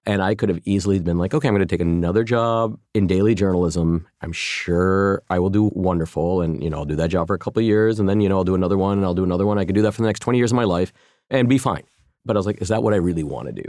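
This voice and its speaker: Deep-voiced man in his forties, thoughtful. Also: racing, a little mellow, no room sound, clean studio sound. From Chicago, US. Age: 40s. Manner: thoughtful, many restarts and "you know" fillers. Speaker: guest, former journalist turned food entrepreneur. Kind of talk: casual interview conversation.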